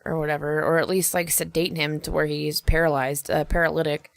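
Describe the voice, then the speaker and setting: Low, creaky-voiced woman in casual chat. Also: little pitch movement, a little fast, dry recording, soft background music. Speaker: podcast co-host. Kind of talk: casual improvised brainstorming chat.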